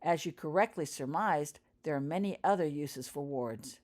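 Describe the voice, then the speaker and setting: A woman voicing a serene but firm female professor at a magic school in a storybook reading, measured and instructive. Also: sits low, darkish tone. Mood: composed, instructive.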